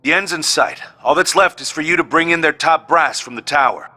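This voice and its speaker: An actor playing a forceful, rallying male officer in a video game cutscene. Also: a little high, brisk.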